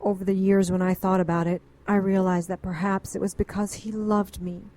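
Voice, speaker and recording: A woman's voice: mid-range voice, level tone, fairly quick, mellow, natural voice. A woman playing a composed, defensive witch who frankly narrates her past in an audio drama. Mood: reflective. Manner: frank, self-justifying.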